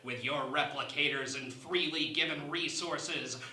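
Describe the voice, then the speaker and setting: A man playing a haughty, scheming alien ambassador in a parody sci-fi radio play, speaking in a theatrical, deliberate manner. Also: on the high side, sharp tone, echoey room, slight background noise. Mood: bitter, defiant.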